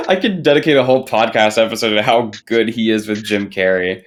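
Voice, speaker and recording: A man's voice: fairly low pitch, normal speed. A young man talking casually on a podcast in a slangy, emphatic way. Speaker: podcast panelist.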